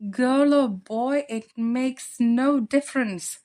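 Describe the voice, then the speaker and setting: A woman voicing a loving father bear, gentle and reassuring, in a children's storybook read-aloud. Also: high voice, slow pace, pitched above the performer's own voice. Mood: tender.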